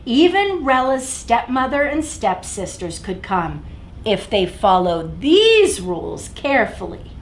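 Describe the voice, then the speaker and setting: Animated, high-voiced woman reading to children. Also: measured pace. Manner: expressive, addresses young listeners directly. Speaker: children's librarian, story time host. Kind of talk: animated read-aloud storytelling for children. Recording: echoey room, faint background noise.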